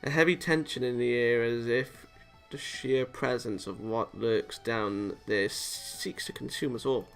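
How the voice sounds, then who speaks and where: Man, visual novel read-aloud, theatrical delivery, gravelly fry, occasional stumbles, music behind. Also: medium pitch, measured pace, hardly any pauses. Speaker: let's-play host reading a visual novel aloud. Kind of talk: expressive read-aloud narration of a game.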